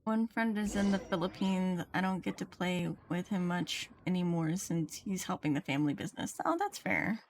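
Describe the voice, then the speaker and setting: A woman's voice: fairly low pitch, normal speed, few pauses, slight background noise. A woman livestreaming a game, chatting casually with viewers as she plays. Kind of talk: casual chat while playing, reading viewers' comments. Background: game music.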